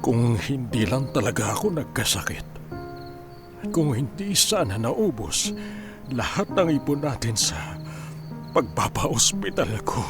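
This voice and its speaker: An actor playing a guilt-ridden, ailing Filipino father in a radio drama, halting and heavy-hearted. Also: medium pitch, big pitch swings. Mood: sorrowful, regretful. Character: guilt-ridden, ailing father grieving his family's hardship. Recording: music behind the voice.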